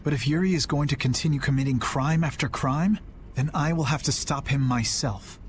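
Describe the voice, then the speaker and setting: An actor playing an earnest young male knight in a video game, speaking calmly and sincerely. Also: medium pitch, slight background noise, close to an everyday voice. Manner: calm, sincere, measured.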